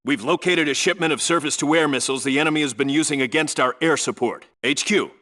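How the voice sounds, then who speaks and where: A man's voice: a little high, fast, sharp tone. A man voicing a tough, disciplined special forces squad leader in a military video game, clipped and authoritative. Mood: crisp, businesslike. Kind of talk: military video game voice lines, clipped radio reports and orders.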